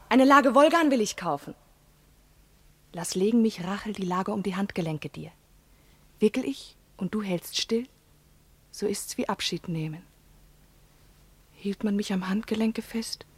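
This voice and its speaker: A woman's voice: medium pitch, expressive, a little slow, long pauses, husky, no echo. An actress playing a tender, grieving woman with solemn intensity in a poetic radio drama. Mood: hushed, bereft.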